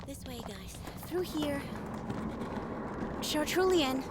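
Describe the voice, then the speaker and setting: Helpful female assistant, high pitch, polite, diplomatic, faint background noise, audio drama. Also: sing-song, slow pace, full character voice.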